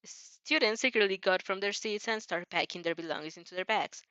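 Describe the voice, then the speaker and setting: A woman's voice: mid-range voice, brisk, pushed and bright, no room sound, dull audio. A woman informally narrating fan fiction, giggly, often stumbling and rereading phrases as she goes. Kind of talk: informal story narration with reactions.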